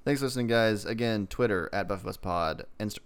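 A man's voice: fairly low pitch, fairly quick, clear, resonant tone. A man co-hosting a casual podcast, joking and teasing as he rambles into asides about pop culture. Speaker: podcast co-host.

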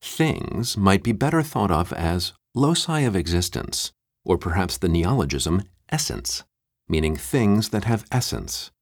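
A man acts out a pedantic academic translator in a comic audiobook. The voice is very low and studio-dry, with deliberate, pompous delivery and sweeping intonation that treats each dense phrase as momentous. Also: very animated, dry recording, exaggerated voice. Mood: solemn, pedantic. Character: pompous academic translator reading an absurdly dense philosophy text. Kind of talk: comic mock-scholarly audiobook reading.

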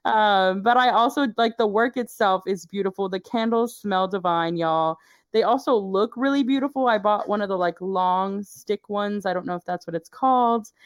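Woman host recording at home, smooth voice, super dry sound, very encouraging. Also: mid-range voice, normal speed, dry recording. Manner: affirming, encouraging. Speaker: podcast host. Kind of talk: casual interview chat. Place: home booth.

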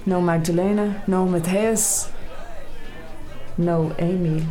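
A woman's voice: fairly low pitch, fairly even intonation, slow pace, dark tone, soft background music. An actress playing a reserved, determined middle-aged Irish woman in a naturalistic radio drama, speaking in a dry, guarded way. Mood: probing, determined. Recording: faint background ambience. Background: faint ambient noise.

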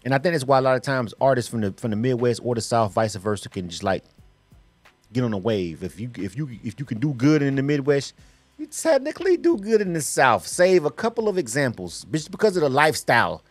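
A man's voice: medium pitch, very animated, brisk, no room sound, soft background music. A middle-aged man from Florida hosting a radio interview, loose and slangy, rambling and peppering his talk with casual asides. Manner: loose, slangy, rambling with frequent "you know what I'm saying". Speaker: radio host.

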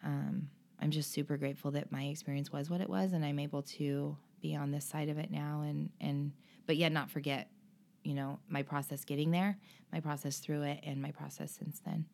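A woman guest in an interview, speaking earnestly and emotionally about her own experience, with frequent pauses and restarts. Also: low voice, level tone, vocal fry, darkish tone. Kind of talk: candid personal interview.

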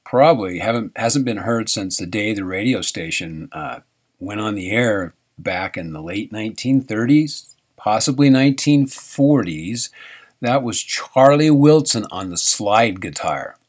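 Low-voiced rambling male radio host. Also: lively intonation. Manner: halting, self-correcting, chuckles often. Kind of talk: rambling live radio chat.

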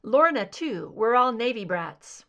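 A woman voicing a skeptical, guarded female investigator in an audiobook, measured and matter-of-fact. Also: a little high, very animated, a bit bright, lo-fi sound, heavily acted. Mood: candid, reminiscing. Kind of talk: fan fiction audiobook dialogue.

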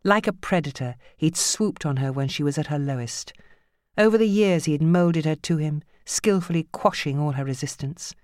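A woman narrating fiction from a script as an audiobook reader, with a measured, grave and dramatic storytelling manner. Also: low voice, darkish tone, no echo, clean studio sound.

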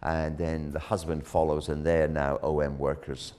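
A man preaching a sermon, telling a story dramatically, rising loud on key words and dropping low again. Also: level tone, moderate pace. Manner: dramatic, swells loud on key phrases then drops low.

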